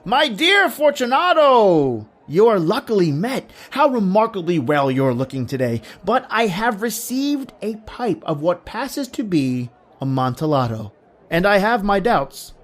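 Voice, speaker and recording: A man's voice: high voice, sing-song. Man acting a theatrical, cunning, vengeful nobleman. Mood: falsely courteous. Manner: theatrical, deliberate, formal phrasing. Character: vengeful Italian nobleman narrator, cold, cunning, falsely courteous. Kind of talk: dramatic horror storytelling in old radio manner.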